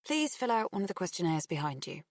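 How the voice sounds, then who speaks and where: Woman playing a brisk female police officer. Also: lowish voice, very animated, no echo, clean studio sound, pitched above the performer's own voice. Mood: businesslike.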